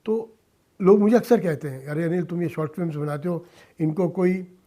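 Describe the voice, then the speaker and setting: Male, middle-aged or older, higher-set yet soft-edged mellow voice, earnest interviewee delivering deliberate, emphatic phrases. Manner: emphatic, deliberate, short phrases, respectful address, some English mixed in. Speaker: interview guest, social-cause filmmaker and philanthropist. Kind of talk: earnest radio interview talk.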